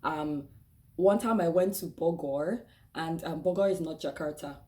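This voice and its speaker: A woman with a Nigerian accent speaks in a fairly low voice, chatting openly to her camera. Her talk is loose and conversational, full of "like" and "um", and she breaks into laughter and acts out other people's voices. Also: normal speed, warm tone. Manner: chatty and candid, with frequent "like" and "um" and restarts. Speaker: vlogger. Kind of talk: casual personal vlog talk.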